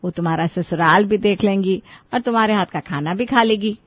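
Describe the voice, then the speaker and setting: A woman's voice: mid-range voice, a little fast, dry recording, dull audio, pitched below the performer's own voice, natural voice. A woman voicing a scheming middle-aged mother-in-law in a comic story, coaxing and sarcastic beneath false sweetness. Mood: falsely sweet.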